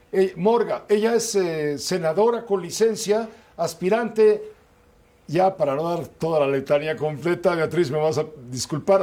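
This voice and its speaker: A man's voice: sits high, darkish tone. A man with a Mexican accent hosting a political interview, asking pointed, teasing questions. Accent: Mexican Spanish. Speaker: radio host.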